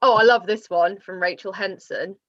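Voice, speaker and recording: A woman's voice: medium pitch, normal speed, no room sound. Dry, lo-fi woman hosting a Q&A. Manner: teasing, lively, reads out audience questions. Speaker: interviewer and host. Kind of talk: hosting an online Q&A.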